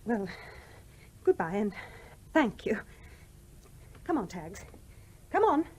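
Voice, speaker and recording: A proper, youngish English widow, modest and polite but quick to reprove. Her husky voice is lively in pitch, in the manner of a 1970s radio drama. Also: full character voice. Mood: flustered, firm. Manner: polite, reserved, primly reproving. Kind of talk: 1970s radio play acting.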